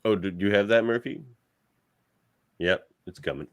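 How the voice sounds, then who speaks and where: A man hosting a casual debate podcast, talking loosely with quick asides and laughs. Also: lowish voice. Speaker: podcast host.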